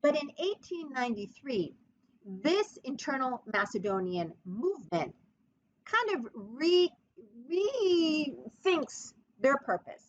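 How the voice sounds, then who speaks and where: A woman giving a history podcast lecture in an emphatic, expressive storytelling manner. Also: high-pitched, slow pace. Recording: muffled lo-fi recording.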